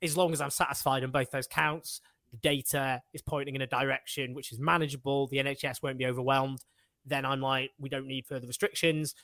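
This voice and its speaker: A man hosting a political discussion, talking in an animated, emphatic way. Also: mid-range voice, fairly quick, dry recording. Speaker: host.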